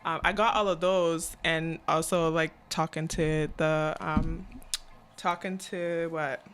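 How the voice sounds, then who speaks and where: A woman from Cameroon in her twenties, a podcast guest talking casually and reflectively, often checking in with "right?" and pausing on "um". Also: sits low, fairly even intonation, clean tone. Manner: reflective, frequent "right?" and "um" fillers. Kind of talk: casual interview chat.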